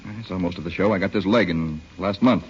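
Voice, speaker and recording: A deep-voiced young army captain, played by a man, resigned and bitter after his war wound. He talks quickly in a clipped, restrained radio-drama manner. The recording is old and muffled. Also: a little hiss or hum, close to an everyday voice. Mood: wry, weary. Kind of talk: 1930s-40s radio drama acting.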